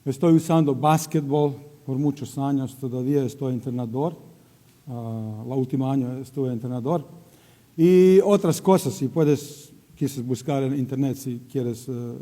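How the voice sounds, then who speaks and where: A middle-aged Croatian man, a missionary, speaking in halting non-native Spanish, searching for words and slipping into English at times. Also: medium pitch, fairly even intonation, warm tone, big-room sound. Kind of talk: church greeting and testimony. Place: church sanctuary.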